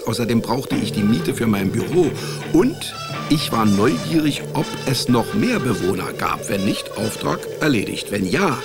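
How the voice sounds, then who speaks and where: Knarzig-trockener Privatdetektiv. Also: male voice, mid-range voice, creaky. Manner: deadpan narration, stammering when cornered. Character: wry, bluffing, hapless male private detective narrating his case. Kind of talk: radio drama, first-person hardboiled comic narration.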